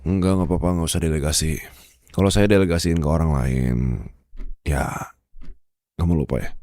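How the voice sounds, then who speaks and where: Guy acting as stern indonesian office boss with a fever, super deep voice, dry close sound, asmr roleplay. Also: steady tone, normal speed, darkish tone, no echo. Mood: weary, unwell. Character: stern, demanding, proud male office boss, sick with fever.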